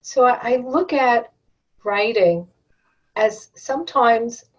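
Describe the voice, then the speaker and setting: A woman's voice: on the high side, takes it slowly, far from the mic. A woman guest on an education podcast shares her thoughts in a thoughtful, anecdotal way. She sounds set back from the mic. The audio is dull and lo-fi. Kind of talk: podcast interview.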